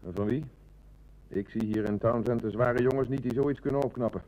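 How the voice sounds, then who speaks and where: A man's voice: mid-range voice, brisk, mellow, lightly acted, poor audio. A man acting a level-headed, respectful police sergeant who doubts things, in a Dutch radio play. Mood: sober, doubting.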